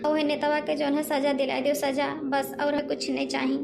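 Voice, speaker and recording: A high, pleading female ghost witch played by a woman, speaking with an Awadhi accent. She is weary and restless, repeating her pleas in a narrow, steady pitch, like a sad cartoon spirit. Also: moderate pace, vocal fry. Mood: pleading, sorrowful. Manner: pleading, repetitive. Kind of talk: amateur Hindi cartoon dialogue.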